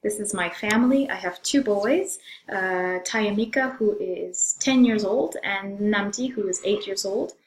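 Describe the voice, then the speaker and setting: Echoey middle-aged woman teacher, pausing often. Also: medium pitch. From Zambia. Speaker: middle school French teacher. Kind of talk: informal course introduction presentation. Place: room in a house, indoors. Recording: echoey room sound.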